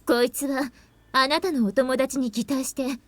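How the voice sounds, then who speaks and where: A woman's voice: high-pitched, fairly even intonation, fast, dry recording, close to an everyday voice. A woman playing a serious young sword-wielding shrine girl in a Japanese visual novel. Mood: serious, explaining.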